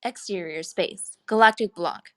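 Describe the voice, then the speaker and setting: A woman's voice: fairly low pitch, a little slow. A woman reading scripted screenplay action lines as the narrator of a table read.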